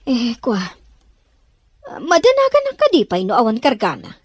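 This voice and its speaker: Woman acting an animated, anxious Ilocano mother. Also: fairly high pitch, normal speed, slightly rough, no echo, studio quality, exaggerated voice. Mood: flustered. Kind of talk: radio drama acting.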